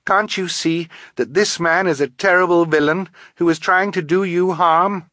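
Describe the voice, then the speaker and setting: A man's voice: high-pitched, moderate pace, pushed and bright, dry recording, studio quality, pitched above the performer's own voice. A man voicing an earnest, alarmed youngster in a storybook, with an urgent, pleading manner.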